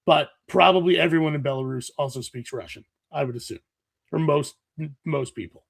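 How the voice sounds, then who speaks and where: A man's voice: fairly high pitch, fairly quick, vocal fry, breathy edge. A man from Rhode Island co-hosting a casual podcast, sick and coughing, joking and explaining things at length. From Rhode Island, USA. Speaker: podcast co-host. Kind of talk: casual chat.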